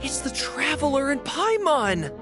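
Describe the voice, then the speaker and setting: A woman voicing an excitable, friendly youngster from an orphan household in a fantasy game, earnest and eager. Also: high-pitched, unhurried, music in the background. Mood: excited.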